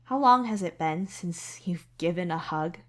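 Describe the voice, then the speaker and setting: Female story narrator for fiction podcast, lowish register, scripted second-person read. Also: expressive, a little slow, fairly bright tone. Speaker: podfic narrator and author.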